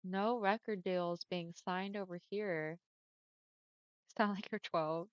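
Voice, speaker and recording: Chatty woman streamer, smooth deeper voice. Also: fairly even intonation, takes it slowly, no echo. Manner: chatty, friendly, often laughing. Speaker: livestreamer. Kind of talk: casual chat with viewers. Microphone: headset mic. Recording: dull lo-fi headset mic.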